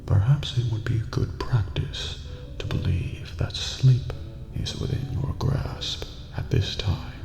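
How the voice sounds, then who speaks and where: A man voicing an eerie, courteous old master of a dream prison in a video game ASMR roleplay, speaking formally in a breathy near-whisper. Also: deep voice, heavily acted. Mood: solemn, soothing. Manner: slow, formal, measured, cryptic. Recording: reverberant hall, muffled, music behind. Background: music.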